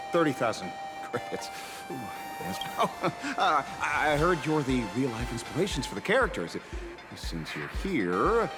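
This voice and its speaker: An actor playing a theatrical, slightly awkward male detective with a vengeful streak in a video game. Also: mid-range voice. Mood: grateful, flustered. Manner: theatrical, swings from grave and measured to flustered stammering. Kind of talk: dramatic video game character acting. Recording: background music under dialogue.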